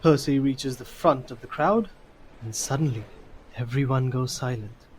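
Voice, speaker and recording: A man's voice: medium pitch, moderate pace, a little hiss or hum, rough recording. A man reading scripted story narration for an audio drama.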